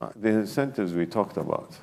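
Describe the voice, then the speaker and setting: A man's voice: fairly low pitch, animated, fairly quick, creaky, darkish tone, rough recording. A man speaking as a panelist in a conference discussion, emphatic and talking in bursts with many restarts.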